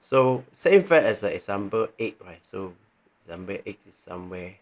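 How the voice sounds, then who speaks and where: A man with a Malaysian accent giving an informal tutorial, thinking aloud haltingly and often checking in with the listener. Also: lowish voice, big pitch swings. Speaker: tax training presenter. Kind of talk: informal tutorial walking through on-screen examples.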